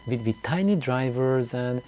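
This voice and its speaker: A man talking casually and unscripted as a video host, in a halting, rambling way that often repeats words. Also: mid-range voice, expressive, warm tone, faint music behind. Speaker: YouTube host of a DIY loudspeaker channel. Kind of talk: casual, unscripted vlog talk. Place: small room indoors.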